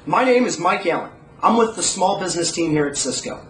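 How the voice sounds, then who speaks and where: A man's voice: high-pitched, expressive, a little fast, a bit bright, slight background noise, poor audio. A man in his thirties giving an informal tutorial as a product presenter. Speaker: presenter, small business product manager.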